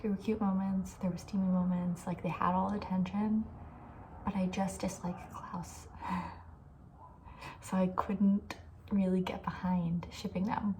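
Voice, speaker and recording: A woman speaking in a soft, breathy ASMR style, often slipping into a whisper, chatting casually in a gentle, hesitant way. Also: medium pitch, level tone, a little slow, slight background noise, rough recording. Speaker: ASMR video creator, host.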